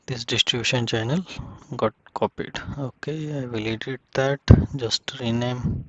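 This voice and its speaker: A man with a medium-pitched voice leads a casual software tutorial, talking himself through each step in short, halting phrases. The sound is dry and close, with a dull, lo-fi muffling over it. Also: steady tone, no room sound. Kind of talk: casual step-by-step screen demonstration.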